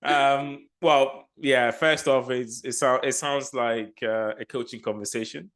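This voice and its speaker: Thoughtful man coach, mid-pitched. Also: fairly even intonation, measured pace. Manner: reflective, restarts phrases, laughs warmly. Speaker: agile coach guest. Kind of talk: casual interview chat.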